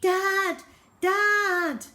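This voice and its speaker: A plucky young daughter in a children's story , played by a woman narrator. She speaks earnestly and insistently in a lifted pitch, determined to be heard. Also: steady tone, extremely slow, bright, forceful tone, full character voice. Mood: urgent.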